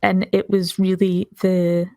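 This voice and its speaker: A woman's voice: on the low side, level tone, takes it slowly, mellow, no room sound, clean studio sound. A woman playing a tabletop roleplaying game, casually narrating and speaking for her character.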